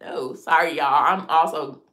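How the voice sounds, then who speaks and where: A woman's voice: low voice, a little slow, fairly bright tone. A woman in her thirties, stuffy from a cold, chatting frankly with viewers about dating and often laughing. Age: 30s. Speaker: lifestyle vlogger. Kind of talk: casual chat answering viewer comments.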